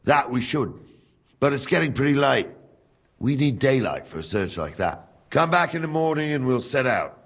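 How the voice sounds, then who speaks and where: An actor playing a gruff, fatherly middle-aged captain of the guard, guarded and plain-spoken, with a husky voice, in a medieval game. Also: mid-range voice, sing-song, moderate pace, dry recording, studio quality. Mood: practical. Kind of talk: video game dialogue acting.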